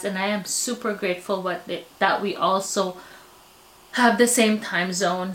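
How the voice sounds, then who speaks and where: A woman with a Filipino accent speaks at an ordinary middle pitch in a candid personal vlog. She sounds reflective and a little self-deprecating. Her voice rings around a noticeably echoey room. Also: fairly bright tone. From Philippines. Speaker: vlogger.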